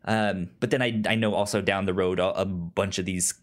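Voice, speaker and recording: A man co-hosting a casual film podcast, chatting in a halting way and often repeating words as he goes. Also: low voice, fairly even intonation. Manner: halting, with stuttered word repeats. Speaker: podcast co-host.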